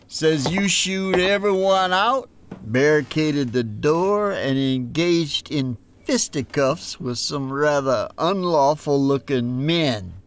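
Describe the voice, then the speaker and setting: Slow, formal frontier lawman. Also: fairly high pitch, slight background noise. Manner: measured, wordy, formal. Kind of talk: Western audio drama acting.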